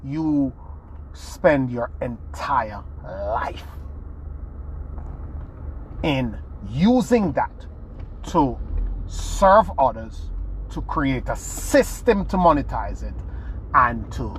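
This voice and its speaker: A man's voice: mid-range voice, big pitch swings, extremely slow, long pauses, a little hiss or hum, low-quality recording. A man from Jamaica, giving an earnest motivational talk as a podcast host, restarting phrases and leaning hard on key words. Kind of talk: motivational monologue.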